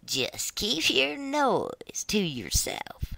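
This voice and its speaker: A reader voicing a wicked old witch who eats children, speaking slowly and sometimes in a whisper. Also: mid-range voice, wildly swooping pitch, vocal fry, sharp tone, no room sound, voice pushed up, heavily acted. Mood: menacing. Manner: slow, drawn-out, sometimes whispered.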